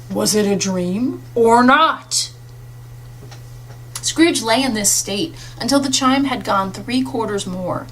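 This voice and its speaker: A woman reading a classic literary story aloud as an audiobook, with expressive scripted narration. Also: a little high, rough recording. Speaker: audiobook reader. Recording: audible hum and faint noise.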